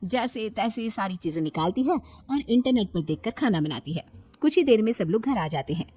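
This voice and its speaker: A woman's voice: mid-range voice, expressive, normal speed, warm tone. A woman reading scripted narration for a Hindi cartoon family story. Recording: music behind the voice. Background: background music.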